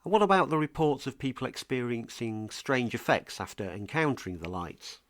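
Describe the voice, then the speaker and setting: Man, podcast host, scripted interview delivery, mid-pitched voice, close dry recording. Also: lively intonation, moderate pace, fairly bright tone, no room sound.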